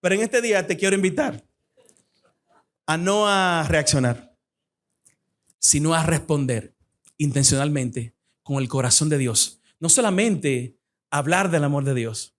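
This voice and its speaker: A young man with a Dominican Spanish accent, a guest speaker giving a fervent church appeal and personal testimony. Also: on the high side. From Dominican Republic. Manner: fervent, fast-talking preacher.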